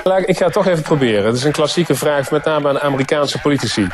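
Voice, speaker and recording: A man's voice: a little high, lively intonation, fast. A man working as an interviewer, putting probing questions. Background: electronic music.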